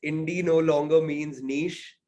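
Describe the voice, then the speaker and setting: A man's voice: on the high side, steady tone, unhurried, dark tone. A man being interviewed as a singer-songwriter, talking casually and thoughtfully, hedging often with "sort of" and "I think".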